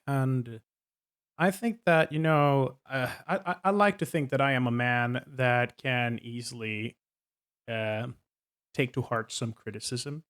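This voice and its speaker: A man's voice: medium pitch, measured pace, a bit bright, clean tone. A man in his thirties with a Swedish accent, explaining himself to viewers in a casual, hesitant way, full of ums and restarts. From Sweden. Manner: hesitant, many ums and uhs, self-correcting. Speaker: game master.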